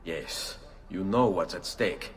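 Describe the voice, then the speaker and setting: An actor playing a grim, determined young assassin with an Italian accent, terse and solemn, in a game cutscene. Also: male voice, low-pitched, takes it slowly, husky, exaggerated voice. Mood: resolute. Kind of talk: video game cutscene acting. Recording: loud music behind. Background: music.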